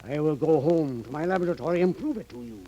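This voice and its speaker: An actor playing a sinister professor making polite, deliberate threats in a 1930s radio horror drama. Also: male voice, a little high, expressive, mellow, lightly acted. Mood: insistent, threatening. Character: sinister, menacing male professor demanding his payment. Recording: muffled, faintly hissy old radio recording.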